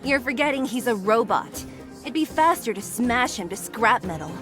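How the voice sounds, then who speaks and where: A woman's voice: fairly high pitch, lively intonation, moderate pace, music in the background, natural voice. A woman playing a brusque, impatient, tough young resistance fighter in a video game. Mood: blunt, impatient. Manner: blunt, impatient. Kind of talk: video game dialogue acting.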